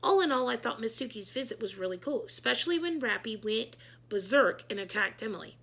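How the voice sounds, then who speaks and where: A woman narrates a children's book expressively. Her voice is fairly high and bright, with noticeable creak at the edges. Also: expressive, a little fast. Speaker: read-aloud storyteller.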